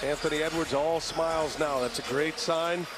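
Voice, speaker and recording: A man's voice: a little high, steady tone, moderate pace. A man giving live play-by-play commentary on a basketball game as its announcer. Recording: very noisy relayed game broadcast. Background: loud arena ambience, bouncing ball.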